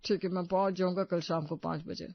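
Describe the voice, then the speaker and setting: An actor plays a famous male vlogger in a cartoon tale, courteous and humble. The recording has no room sound and a softened, lo-fi top. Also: medium pitch, little pitch movement, fast, gravelly, no room sound, natural voice. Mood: polite, modest. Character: famous male vlogger guest, modest and polite. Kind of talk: animated moral-story dialogue.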